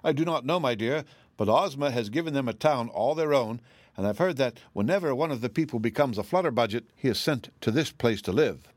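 A man voicing a wise storybook wizard, brisk, assured and consoling. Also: mid-range voice, animated, dry recording, close to an everyday voice.

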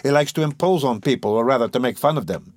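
A man voicing a flustered, excitable young man who stammers and blusters in a dramatised audiobook reading. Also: medium pitch, fast talker, no echo, pitched above the performer's own voice, natural voice. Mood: eager, flustered. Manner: stammering, exclamatory, indignant.

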